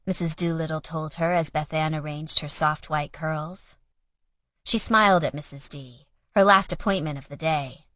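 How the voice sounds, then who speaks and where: Low-voiced woman narrating fiction, dry sound. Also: dry recording, lo-fi sound. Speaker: audiobook narrator.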